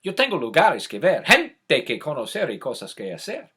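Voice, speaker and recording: A man's voice: a little high, wildly swooping pitch, brisk, sharp tone, husky, heavily acted. A man voicing a tiny, boastful one-legged boy chicken in a storybook tale, with a bold, self-important manner.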